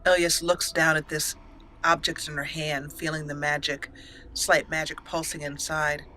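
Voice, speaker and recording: A woman's voice: sits low, flat delivery, moderate pace, sharp tone, a little hiss or hum. A woman hosting a let's play, switching between storytelling narration and commentary on her roleplay game.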